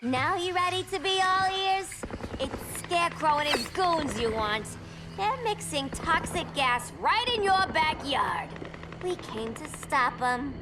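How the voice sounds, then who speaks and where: A woman's voice: high voice, animated, slow, heavy background noise, heavily acted. A woman playing a perky, cheeky young antihero in a video game, with a playful, folksy way of clipping words. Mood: playful, admiring. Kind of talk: cinematic video game acting.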